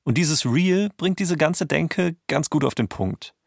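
A man's voice: a little high, animated, a little slow, vocal fry, dry recording, clean studio sound. A man narrating a scripted documentary podcast as its host.

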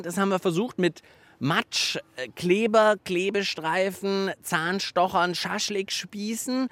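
A man's voice: sits high, a bit bright, no echo. A man hosting a children's science show, chatting cheerfully and laughing easily. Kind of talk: casual presenting for children.

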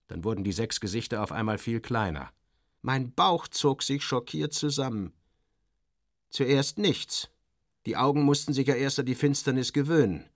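A man narrating satirical first-person literary prose in a wry, expressive way with clipped phrasing. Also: mid-range voice, no echo, clean studio sound.

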